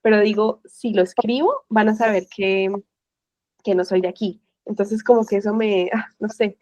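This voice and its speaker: A woman's voice: medium pitch, normal speed, a little mellow, no echo. A woman in casual chat, talking emphatically and searching for words with lots of fillers, on a slightly muffled mic.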